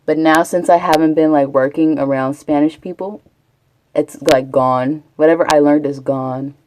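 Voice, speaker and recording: A woman's voice: low-pitched, steady tone, moderate pace, darkish tone, rough recording. A chatty woman from Texas, rambling casually as a live streamer and answering her chat. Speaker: Twitch streamer.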